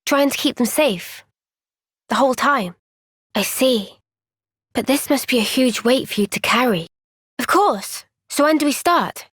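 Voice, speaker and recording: Young female game heroine: high voice, slow measured delivery, gentle yet resolute, dry close recording. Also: lively intonation, slightly rough, no echo, exaggerated voice. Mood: sincere, earnest. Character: earnest, gentle but firm young woman fighter. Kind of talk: video game cutscene voice acting.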